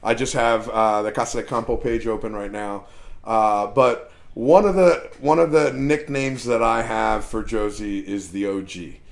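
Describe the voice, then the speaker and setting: A man's voice: on the low side, gravelly. A man in his forties hosting a live streamed interview, chatty and teasing. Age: 40s. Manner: chatty and teasing, quick to laugh. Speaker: host.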